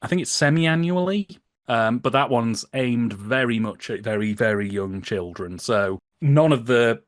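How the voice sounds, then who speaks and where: A man's voice: medium pitch, measured pace, no echo, studio quality. A British man chatting as a podcast guest, explaining things in a wry, humorous, chatty way. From United Kingdom. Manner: chatty, humorous, wry, often laughing as he talks. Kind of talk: casual podcast discussion, explaining.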